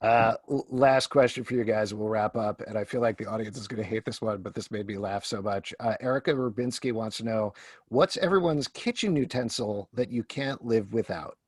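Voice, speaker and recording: A man's voice: on the low side, a little fast, breathy edge, no echo, clean studio sound. A man hosting a casual question-and-answer panel, putting questions to the guests in a conversational way. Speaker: host and moderator. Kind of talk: casual moderated Q&A.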